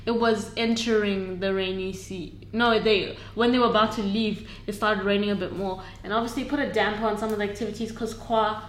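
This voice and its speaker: A woman in her twenties co-hosting a casual podcast chat, chatty and quick to laugh, trailing off mid-sentence. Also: mid-range voice, fairly even intonation. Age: 20s. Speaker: podcast co-host. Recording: echoey room, faint noise.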